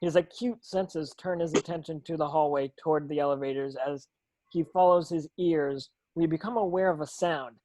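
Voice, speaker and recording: A man's voice: on the high side, steady tone, moderate pace, warm tone, rough recording. A man narrating stage directions from a script at a table read, sometimes tripping over words.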